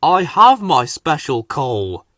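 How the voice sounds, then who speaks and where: A man acts out a wheezy storybook steam engine, worried and spluttering. His pitch moves up and down a great deal as he struggles to explain himself. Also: very animated, slow, sharp tone, no echo, clean studio sound, exaggerated voice.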